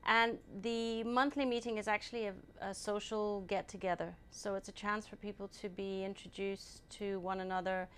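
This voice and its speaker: A woman born in England, answering interview questions at a steady, measured pace and often pausing on ums. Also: mid-range voice, clean tone. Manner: measured, hesitant with many ums. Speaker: guest, group founder.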